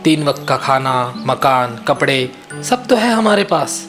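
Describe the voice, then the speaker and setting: A man's voice: a little high, big pitch swings, measured pace. A man plays a contented farmer in a children's moral story. He is a caring friend who reasons and advises. Faint music sits behind him. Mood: reassuring. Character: sensible, contented male farmer, a concerned friend.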